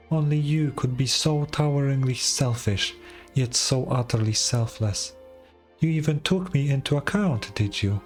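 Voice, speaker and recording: A man's voice: medium pitch, a little mellow, close to an everyday voice. A man voicing an ancient, proud dragon lord from a fantasy game, stern and scornful in a grand, formal manner. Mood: intrigued, regal. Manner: grandiose, formal, deliberate delivery. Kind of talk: dramatic fantasy game dialogue.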